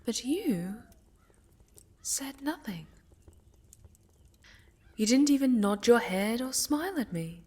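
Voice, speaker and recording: A woman playing a haughty, sinister necromancer villainess in a soft-spoken ASMR roleplay, silky, condescending and mockingly sweet, often sinking to a whisper. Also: on the high side, big pitch swings, slightly rough, exaggerated voice. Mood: sly, curious. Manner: condescending, silky, menacing, drawn-out.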